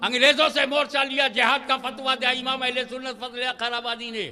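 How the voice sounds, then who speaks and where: A man delivering an impassioned religious speech, shouting rhythmic refrains to a gathering. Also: very high voice, flat delivery, fairly quick, soft background music. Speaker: Islamic preacher.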